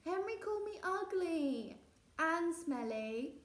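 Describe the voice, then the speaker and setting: A woman's voice: very high voice, sing-song, takes it slowly, pitched above the performer's own voice, full character voice. A woman reading a children's storybook, voicing a prim, goody-goody little boy who is earnest and easily upset. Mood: wailing. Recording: roomy echo, distant mic.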